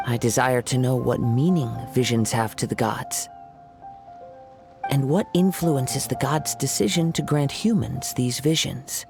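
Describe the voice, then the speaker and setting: An actor playing a polite, scholarly young swordsman in a video game, speaking in a formal, measured way. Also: medium pitch, over music, natural voice. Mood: calm. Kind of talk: game voice acting.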